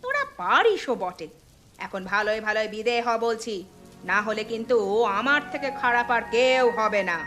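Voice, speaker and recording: A woman's voice: a little high, very animated, moderate pace, bright, forceful tone, faint music behind. A woman voicing a mean village aunt in a cartoon, scolding and sneering. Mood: scornful, angry. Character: mean, scornful village aunt, doting only on her son. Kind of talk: cartoon story dialogue.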